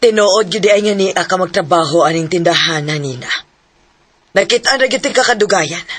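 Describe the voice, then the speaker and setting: A woman's voice: on the low side, normal speed, a bit bright, lightly acted. A woman acting a domineering, scheming middle-aged mother in a melodrama, with a Cebuano Filipino accent. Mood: harsh, bossy.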